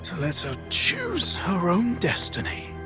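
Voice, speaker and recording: A philosophical older doctor in a video game cutscene. He speaks very slowly in a slightly high voice, solemn and musing. Music plays strongly behind him. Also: huge pitch swings, full character voice.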